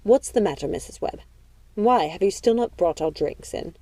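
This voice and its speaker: A woman's voice: mid-range voice, animated, normal speed, warm tone, dry recording. A woman voicing an older aristocratic mother, testy, commanding and composed, in an audiobook story. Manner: commanding, reproving.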